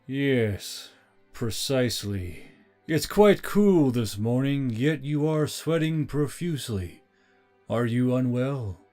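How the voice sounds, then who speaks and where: A man voicing a skeletal metal robot with a precise, formal, deadpan, gently teasing manner. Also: medium pitch, slow, soft background music. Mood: calm, deadpan.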